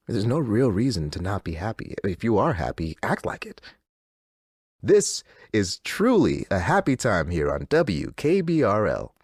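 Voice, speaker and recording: Controlling, too-cheerful radio DJ; hugely swooping delivery, dry studio sound. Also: male voice, fairly low pitch, a little mellow, slightly rough, no echo, heavily acted. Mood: menacing cheer. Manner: erratic, insistent cheer. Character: unsettlingly upbeat, controlling male radio DJ. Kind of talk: theatrical radio DJ patter.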